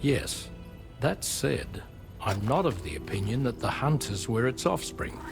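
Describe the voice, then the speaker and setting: Over loud music comes a grave, reflective older-world seafarer, mid-pitched and measured. He chooses formal words and articulates them carefully, in the manner of a cinematic video game. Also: big pitch swings, gravelly. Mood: solemn. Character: grave, reflective seafaring man grieving his lost son. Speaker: game character actor.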